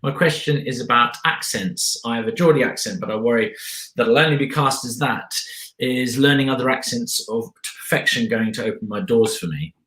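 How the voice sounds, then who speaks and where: A man's voice: mid-range voice, brisk, a bit bright. A man hosting a casual Q&A, reading out audience questions and chiming in with upbeat affirmations. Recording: echoey room.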